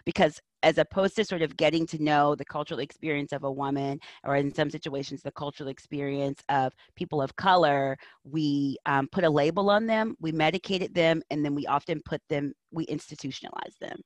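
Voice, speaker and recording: A woman's voice: low voice, fairly even intonation, fairly quick, few pauses, dry recording. A woman speaking as an interview guest, a counselor and educator, giving earnest, emphatic advice in reflective answers.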